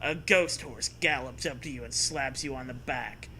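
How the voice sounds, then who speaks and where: A man's voice: medium pitch, moderate pace, bright, forceful tone, a little hiss or hum. A man co-hosting and reading a comic gamebook aloud, mixing narration with casual, irreverent banter. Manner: playful, irreverent.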